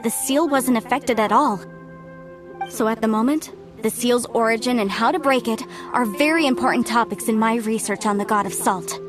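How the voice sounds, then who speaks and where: A woman playing an earnest young woman researcher, explanatory, slightly high voice, music behind. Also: normal speed, natural voice. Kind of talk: game dialogue. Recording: game audio with music underneath.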